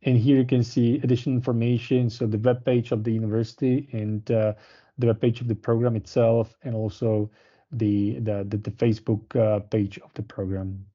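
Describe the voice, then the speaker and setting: Guy presenting a uni program on a webinar, lots of ums, very dark tone, muffled call audio. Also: medium pitch, flat delivery, moderate pace. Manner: hesitant, many fillers and restarts.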